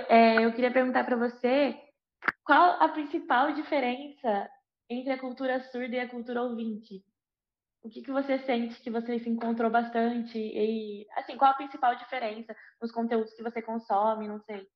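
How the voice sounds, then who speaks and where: A woman's voice: on the high side, little pitch movement, normal speed. A young woman with a Brazilian Portuguese accent interviewing, asking long rambling questions filled with tag words. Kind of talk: conversational interview. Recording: dull lo-fi audio.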